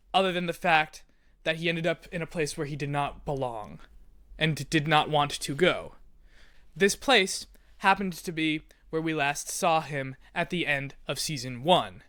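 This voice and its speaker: A man's voice: on the high side, fairly bright tone, smooth, dry recording, studio quality. A man hosting and explaining to listeners in a conversational, playful way with theatrical emphasis. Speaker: host, writer and creator of an audio drama.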